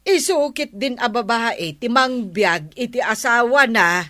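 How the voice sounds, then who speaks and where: An actress playing an opinionated, chiding village wife in an Ilocano radio comedy, matter-of-fact, with an Ilocano accent. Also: female voice, fairly high pitch, lively intonation, unhurried, sharp tone, no room sound, clean studio sound. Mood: disapproving.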